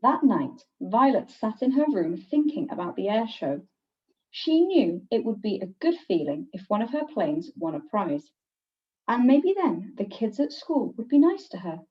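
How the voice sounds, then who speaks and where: A woman reading a children's picture book aloud in an expressive, deliberate teacher's storytelling manner. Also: mid-range voice. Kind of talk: scripted picture-book narration. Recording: distant, slightly dull microphone.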